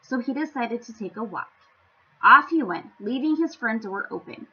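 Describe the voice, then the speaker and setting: A woman reads a children's story in a high, very animated voice. She leans on words with playful, theatrical emphasis for young listeners. The sound is slightly dull and lo-fi. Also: normal speed, husky. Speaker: children's story-time reader. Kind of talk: expressive storybook read-aloud.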